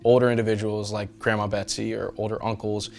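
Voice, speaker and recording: A man's voice: on the low side, little pitch movement, a little fast, creaky, a little mellow, a little hiss or hum. A young adult man speaking reflectively in conversation, in a thoughtful, slightly hesitant way. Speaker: photographer interviewing his great-aunt.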